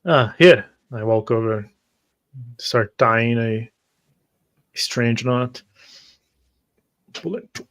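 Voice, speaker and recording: A man's voice: medium pitch, very slow, pauses often, fairly bright tone. A man playing a tabletop roleplay game, narrating his actions in first person and often repeating words hesitantly.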